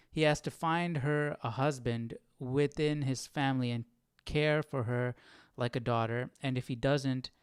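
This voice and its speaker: A man hosting an informal livestream Bible study, reading aloud and thinking out loud in a musing, hesitant, self-questioning way. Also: medium pitch, clear, resonant tone, dry recording, clean studio sound.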